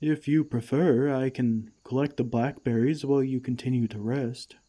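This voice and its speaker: A man playing a gentle, caring friendly giant in an ASMR comfort roleplay, with tender, old-fashioned, unhurried phrasing. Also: mid-range voice, fairly even intonation, natural voice. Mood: kindly, patient.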